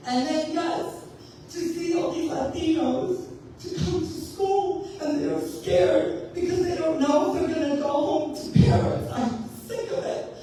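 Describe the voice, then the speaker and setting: A woman from South Africa, a school psychologist, giving a loud, emotional public comment at a town hall. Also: extremely high pitch, a little slow. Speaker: school psychologist speaking as an audience member. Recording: echoey hall, voice from far off, faint noise.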